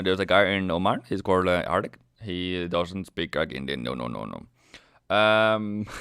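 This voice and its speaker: A low-voiced man from Norway chats loosely on a live trading stream, with a lively, swinging pitch. He rambles, laughs often and keeps restarting his sentences. Faint music plays in the background. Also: clean tone. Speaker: forex trader on a live stream. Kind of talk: casual live-stream chat.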